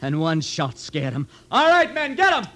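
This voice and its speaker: Cold male gang leader, fairly high voice, clipped orders, vintage western radio, faint noise. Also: wildly swooping pitch, moderate pace. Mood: commanding. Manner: curt, commanding. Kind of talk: 1950s radio western acting. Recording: slightly noisy old radio recording.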